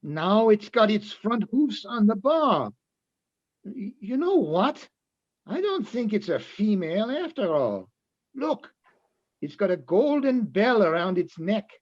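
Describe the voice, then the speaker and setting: Slightly dull audio carries a man acting as a friendly bar patron in a radio-style drama. He is earnest and explanatory, unhurried, with a very lively intonation. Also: sits high, exaggerated voice. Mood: amazed. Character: friendly Austrian-toast-teaching bar patron, adult man. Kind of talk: radio-play style readers theatre acting.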